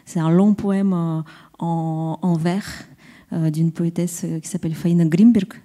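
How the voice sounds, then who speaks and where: A woman poet and translator speaking at a round-table discussion, halting and searching for her words. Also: on the low side, steady tone, dark tone.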